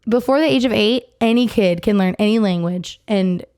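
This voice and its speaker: A woman's voice: mid-range voice, normal speed, darkish tone. A young woman co-hosting a laid-back podcast, with a mid-range voice. She talks emphatically and with lots of energy, leaning on fillers like "like" as she goes. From United States. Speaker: podcast co-host. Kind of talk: casual chat.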